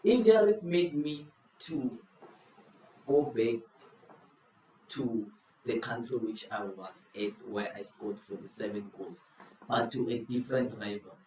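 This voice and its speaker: A man's voice: mid-range voice, a little mellow. Man posing riddles in an echoey room. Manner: playful, drawn-out clue-giving. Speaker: quiz host. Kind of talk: casual group chat, posing football riddles. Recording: echoey room, far from the mic, faint noise.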